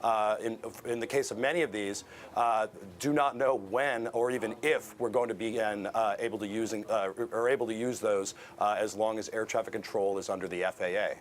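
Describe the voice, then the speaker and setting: A man with an American accent giving explanations as an expert guest in a news interview, in a hesitant, halting way. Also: lowish voice, fairly even intonation, a little hiss or hum.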